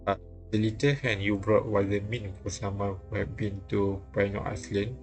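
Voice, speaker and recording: A man reading visual novel dialogue and narration aloud on a live stream, halting and repeating lines as he goes. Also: on the low side, level tone, a little mellow. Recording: music playing behind the voice. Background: game music.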